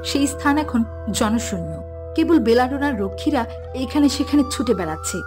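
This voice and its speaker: A woman storyteller reads a scripted fairy tale. Her voice sits on the high side, and music plays clearly behind her. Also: lively intonation, normal speed. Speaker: narrator.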